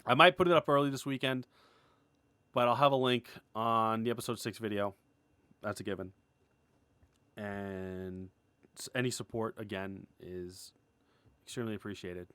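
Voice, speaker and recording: Rambling, excitable man in a dry-sounding recording. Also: lowish voice, moderate pace, long pauses, clean tone, dry recording. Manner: rambling, excitable, with stop-and-start phrasing. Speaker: animator and web series creator giving an update. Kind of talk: casual unscripted update vlog.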